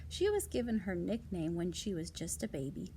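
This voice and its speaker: A woman's voice: on the low side, big pitch swings, moderate pace, warm tone, slight background noise, rough recording. A woman reading non-fiction picture books aloud to young children, adding casual asides, simple explanations and questions to the listeners.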